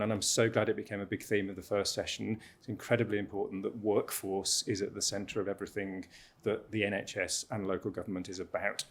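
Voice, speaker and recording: Guy moderating a panel, low voice, lots of ums and false starts. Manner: hesitant, many fillers and restarts. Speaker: panel facilitator.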